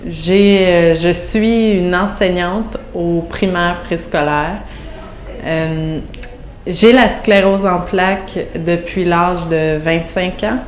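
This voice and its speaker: A woman's voice: lowish voice, steady tone, slow pace, dull audio. A woman in her thirties from Montreal with a Quebec French accent gives an unscripted testimonial, hesitant and full of fillers and restarts. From Montreal, Canada. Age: 30s. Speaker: patient giving a testimonial. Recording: roomy echo with faint background noise.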